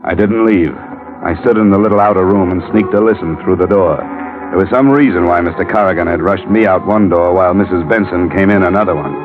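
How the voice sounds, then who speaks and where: Low-voiced, deadpan radio detective played by a man. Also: fairly quick, lightly acted. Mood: wry narration. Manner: dry, deadpan, sardonic. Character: wry, cool insurance investigator narrating his own case. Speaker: insurance investigator. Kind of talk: 1950s radio detective acting, hard-boiled narration. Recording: muffled vintage radio broadcast with music.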